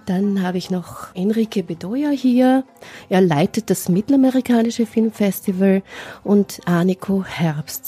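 A woman hosting a live radio show from the studio, announcing in a measured, formal way. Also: mid-range voice, warm tone, breathy edge. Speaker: radio host. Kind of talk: radio moderation. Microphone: studio microphone.

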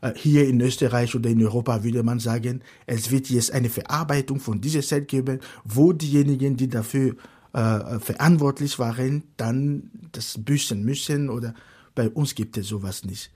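A man whose first language is French, speaking on a panel in halting sentences with many fillers. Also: medium pitch, steady tone, dark tone, husky. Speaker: panel guest, language researcher. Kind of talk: panel discussion.

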